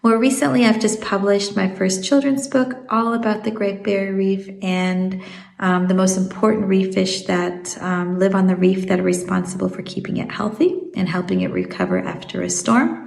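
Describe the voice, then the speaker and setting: Gravelly-voiced American-accented woman, echoey room. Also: medium pitch, lively intonation, darkish tone. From Swiss South African. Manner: measured, with frequent "um" fillers. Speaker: marine biologist guest. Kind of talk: explanatory interview answers.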